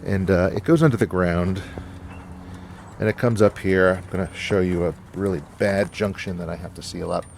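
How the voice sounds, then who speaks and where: A man giving a casual, unscripted explanation as a hobbyist host, halting and hesitant, with frequent pauses and a gravelly voice. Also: low-pitched, darkish tone, slight background noise.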